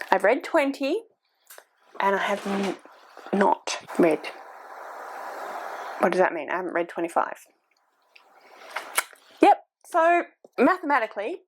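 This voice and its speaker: A woman's voice: mid-range voice, creaky. A woman in her forties chatting casually to camera as a book vlogger, thinking aloud and poking fun at herself. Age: 40s.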